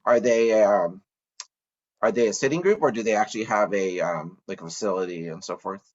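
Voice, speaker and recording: Male podcast host, lowish voice, hesitant questions, muffled lo-fi audio. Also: brisk. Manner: frequent soft back-channel "mm-hmm"s, hesitant questions. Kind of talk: casual interview questions.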